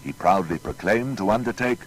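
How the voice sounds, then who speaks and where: A man's voice: expressive, normal speed, poor audio. A man narrating a scripted documentary biography in a formal, storytelling manner. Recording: faint noise with low rumble.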